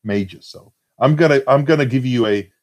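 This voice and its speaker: A man's voice: mid-range voice, a little fast, a little mellow. A man from Latvia with a Latvian accent, talking casually and hesitantly with many fillers and restarts. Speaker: forum member being interviewed. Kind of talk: casual conversation.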